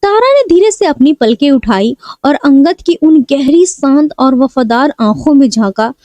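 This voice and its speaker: A woman tells a scripted dramatic story in a high voice. The tone is mellow and rounded rather than sharp. The sound is dry and close, with no room around it. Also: animated, measured pace, no echo.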